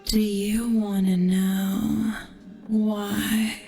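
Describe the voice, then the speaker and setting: A woman livestreamer delivering a tongue-in-cheek monologue to her viewers in a teasing, drawn-out, dramatic way, often dropping toward a whisper. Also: mid-range voice, flat delivery, gravelly, dark tone, soft background music.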